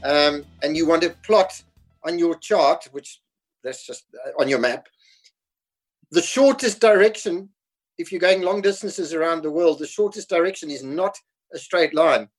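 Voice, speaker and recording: South african guy, 50s, bit higher voice, chatty, explains stuff patiently over a video call. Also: a little slow, faint music behind. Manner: explains patiently, laughs easily. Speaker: podcast guest. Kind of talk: casual conversation.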